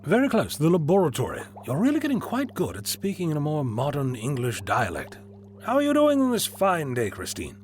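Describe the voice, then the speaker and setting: A man's voice: on the high side, huge pitch swings, a little fast, slight background noise. A man playing a genial, eccentric time-travelling scientist in an audio drama, chatty and given to lecturing. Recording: music behind fan audio drama.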